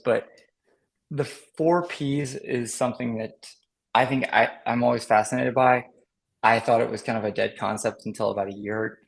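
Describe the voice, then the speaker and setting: A man's voice: mid-range voice, vocal fry, poor audio. A man speaking as a guest marketing expert in a casual interview, thinking aloud reflectively over a video call.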